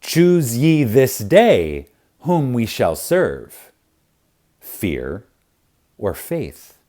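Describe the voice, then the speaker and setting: A man narrating a spiritual audiobook in a reverent, emphatic manner with dramatic pauses. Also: mid-range voice, wildly swooping pitch, mellow. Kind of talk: scripted audiobook reading of spiritual teaching.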